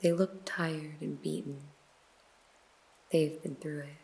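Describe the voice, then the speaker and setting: A woman playing a weary hard-boiled detective, narrating a noir story in a slow, brooding half-whisper over faint music. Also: deep voice, fairly even intonation, gravelly, a little mellow, full character voice.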